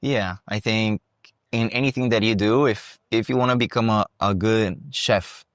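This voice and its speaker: Young man, Costa Rican accent, lowish voice, thoughtful, restarts phrases, dry close sound. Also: moderate pace, dry recording. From Costa Rica. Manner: relaxed, thoughtful, with frequent restarts. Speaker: guest, salsa dancer and teacher. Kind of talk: casual interview. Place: salsa congress venue.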